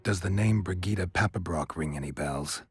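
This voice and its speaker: An actor playing a laconic, world-weary monster hunter in a video game, speaking in a gravelly voice with a terse, guarded deadpan. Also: male voice, low voice, normal speed, lightly acted. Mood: deadpan, questioning. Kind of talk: video game cutscene voice acting. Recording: music behind the voice.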